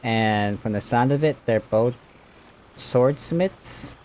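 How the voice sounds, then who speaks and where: A woman's voice: extremely low pitch, takes it slowly, darkish tone, a little hiss or hum. A woman game streamer giving casual playthrough commentary and reading some dialogue aloud.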